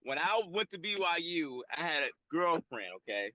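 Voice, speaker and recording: A man on a video call telling a personal story in a lively, defensive, laughing way. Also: fairly high pitch, normal speed, dry recording, poor audio. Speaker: guest.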